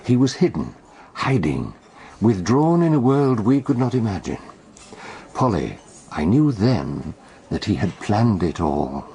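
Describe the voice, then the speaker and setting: A British amateur detective in a radio mystery, his voice very low and dark with a creaky edge. He is theatrical and teasing, spinning out his deductions with deliberate pauses. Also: very animated, exaggerated voice. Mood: intent, brooding. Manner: theatrical, measured storytelling with pointed pauses. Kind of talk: BBC radio detective drama acting.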